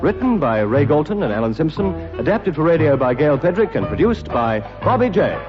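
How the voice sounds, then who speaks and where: A man's voice: on the high side, sing-song, a little fast. A man reading scripted closing credits as a radio announcer. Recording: dull archive radio, loud theme music.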